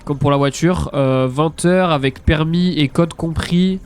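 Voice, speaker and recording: Stuffy-nosed young Frenchman, slightly high voice. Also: measured pace, smooth. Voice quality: congested, blocked-nose sound. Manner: hesitant, repeats words, mild swearing. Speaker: game streamer. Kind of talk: casual live stream chat over gameplay.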